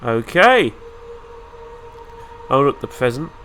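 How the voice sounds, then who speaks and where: A man's voice: mid-range voice, sing-song, slow, a bit bright, slight background noise. A man with a nasal, flu-stuffed voice, giving casual let's-play commentary with dry, sarcastic asides. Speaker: gaming YouTuber.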